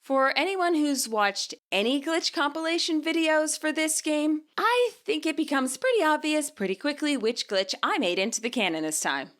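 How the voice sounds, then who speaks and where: A woman narrates a scripted story of her own. Her voice is bright and forceful, on the high side, and moves expressively up and down as she reads. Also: lively intonation, moderate pace, hardly any pauses. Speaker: author and narrator.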